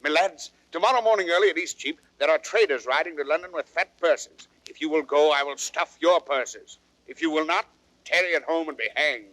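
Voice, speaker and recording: A man's voice: on the high side, a little fast, fairly bright tone, no room sound, lightly acted, poor audio. A man as sly, cheery thief, dry sound. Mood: rallying. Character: sly, cheery thief coaxing his comrades. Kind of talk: old radio-style Shakespearean acting.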